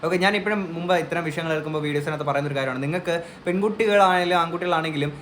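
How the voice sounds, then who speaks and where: A man's voice: fairly high pitch, very fast, fairly bright tone, a little hiss or hum. A man giving earnest, emphatic opinion commentary as a vlogger on a news case.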